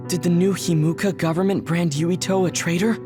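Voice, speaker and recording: An actress playing a serious, level-headed young psychic fighter in a video game. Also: female voice, on the low side, steady tone, fairly quick, over music, close to an everyday voice. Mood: serious, concerned. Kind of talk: English video game cutscene dub.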